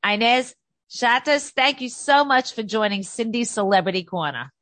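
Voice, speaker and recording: A woman hosting a celebrity talk show, introducing her guest and asking questions in an upbeat, deliberate way. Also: a little high, fairly bright tone, no echo. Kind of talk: interview hosting.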